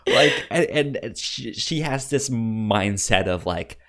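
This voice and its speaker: A man chats casually as a podcast co-host. His pitch moves around in a lively way over a middling register. He speaks haltingly, often repeating and tripping over words. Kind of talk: casual film discussion chat.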